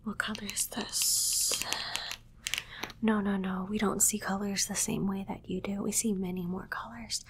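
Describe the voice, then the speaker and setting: A woman voicing a condescending female alien doctor in a soft whisper, giving matter-of-fact instructions in an ASMR exam roleplay. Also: mid-range voice, husky. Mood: clinical, condescending. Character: female alien doctor, clinical, condescending, studying human captive.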